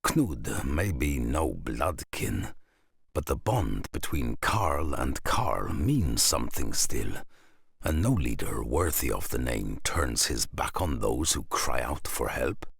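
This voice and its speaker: Very deep gravelly saga narrator. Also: male voice, sing-song, slow pace, a bit bright, dry recording, clean studio sound, exaggerated voice. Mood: solemn. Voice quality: gravelly, husky. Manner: solemn, portentous. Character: grave, weathered male saga storyteller. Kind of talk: dramatic scripted saga narration.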